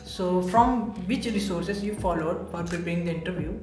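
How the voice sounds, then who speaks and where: A man's voice: sits high, normal speed, echoey room, music in the background. A man from India hosting a casual podcast interview, chatty and full of "so" and "you know". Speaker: podcast host.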